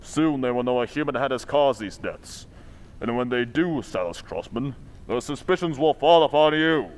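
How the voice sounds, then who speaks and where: An actor playing a stern, accusing invisible avenger with a commanding manner in an old-time radio drama. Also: male voice, mid-range voice, animated, natural voice. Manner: commanding, deliberate, ominous.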